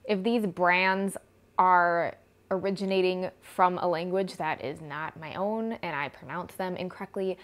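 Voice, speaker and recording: A woman's voice: lowish voice, steady tone, unhurried. Young Midwestern American woman, chatty vlogger. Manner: chatty, informal. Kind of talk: casual product review to camera. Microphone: clip-on lapel mic.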